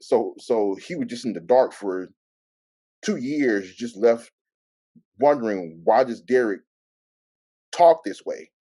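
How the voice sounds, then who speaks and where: Male panelist, reflective advice. Warm dark tone, dry close sound, halting stutter, measured. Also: mid-range voice, expressive, no echo. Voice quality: stutter with repeated words and blocks. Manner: halting, measured, frequent stalling. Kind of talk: reflective advice and personal anecdote.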